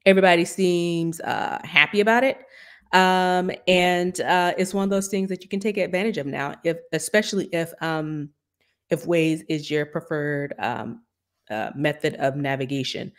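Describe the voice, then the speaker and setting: A woman's voice: lowish voice, normal speed. A woman co-hosting a tech podcast, explaining news conversationally with frequent ums and uhs. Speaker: podcast co-host. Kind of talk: casual tech news chat.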